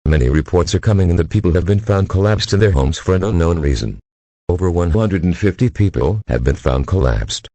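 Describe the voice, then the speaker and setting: A man's voice: sits low, expressive, brisk, mellow, no room sound. A man reading a scripted emergency alert bulletin in a formal, impersonal way.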